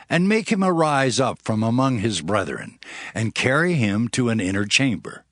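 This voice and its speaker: A solemn prophet of commanding temper in an audio scripture drama. He speaks in deliberate imperatives with wide rises and falls of pitch. The sound is close and very dry. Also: a bit bright, no echo. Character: commanding male prophet giving solemn orders.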